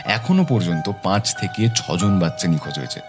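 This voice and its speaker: A man acts a determined, methodical detective in an audio drama. His voice is low and his delivery is serious and deliberate. Music is clearly heard behind him. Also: very animated. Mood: grave.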